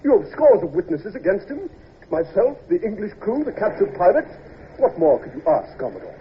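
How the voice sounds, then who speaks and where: Hissy lo-fi radio play, male ship's captain, raised pitch, emphatic, indignant, insistent. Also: big pitch swings, moderate pace. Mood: vengeful, insistent. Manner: emphatic, urgent, arguing. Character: vengeful, indignant merchant ship skipper, deferential but insistent. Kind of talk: old-time radio drama acting. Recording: dull lo-fi radio recording with hiss.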